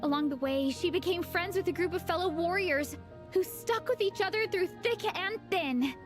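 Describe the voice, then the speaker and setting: A woman's voice: very high voice, normal speed, music in the background. A woman voicing an enthusiastic, friendly young comic-fan club captain in a video game, chatting casually. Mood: earnest, admiring.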